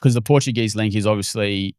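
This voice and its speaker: A man's voice: on the low side, fairly quick, a little mellow, no echo, studio quality. A man hosting a podcast interview, attentive and encouraging, prompting his guest with short acknowledgements. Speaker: podcast host.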